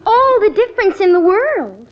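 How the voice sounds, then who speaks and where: An actress with an American accent playing a pleading, melodramatic teenage daughter in an old-time radio family comedy. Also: female voice, very high-pitched, very animated, normal speed. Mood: indignant. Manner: pleading, dramatic, indignant. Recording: muffled old radio broadcast recording.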